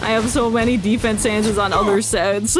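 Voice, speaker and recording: A woman's voice: mid-range voice, fairly even intonation, moderate pace. A woman giving casual, rambling gameplay commentary, half muttering to herself as she plays. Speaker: game streamer. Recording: loud game music behind. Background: game music and combat sounds.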